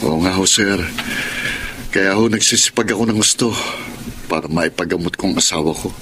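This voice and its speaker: Humble family-man driver played by a male actor, low register, worried and deferential, hesitant delivery, Filipino radio drama, noisy track. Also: moderate pace, close to an everyday voice. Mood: humble, earnest. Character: humble, hardworking jeepney driver father, worried, respectful.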